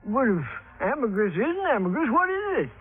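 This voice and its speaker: A gullible, fretful insurance agent in a classic radio play. His voice is high and swoops about as he hesitates in a folksy way. The recording is thin and tinny. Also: sing-song, moderate pace. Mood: shocked, doubtful. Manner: hesitant, worried, sheepish, folksy. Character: anxious, gullible male small-town insurance agent. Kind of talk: 1950s-60s radio drama acting.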